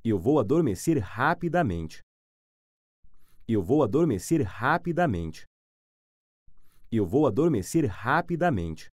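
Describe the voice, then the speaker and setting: Slow, deliberate man. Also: medium pitch, very animated, no echo, clean studio sound. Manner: repeats each phrase three times, clearly and deliberately. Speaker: language teacher. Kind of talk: slow phrase repetition for learners.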